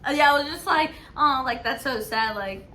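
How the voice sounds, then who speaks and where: A woman's voice: high-pitched, normal speed, pushed and bright. A young woman from Mexico, a podcast host, telling a long personal story in casual chat, full of fillers and restarts. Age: young adult. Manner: rambling, filler-heavy storytelling.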